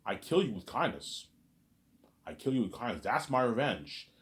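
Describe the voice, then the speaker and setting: A man talking straight to his audience in a rambling monologue, repeating himself, stumbling over restarts, and letting his asides fade quietly. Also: medium pitch, sing-song, normal speed. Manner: repetitive, stammers on restarts, trails off quietly on asides. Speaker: solo vlogger.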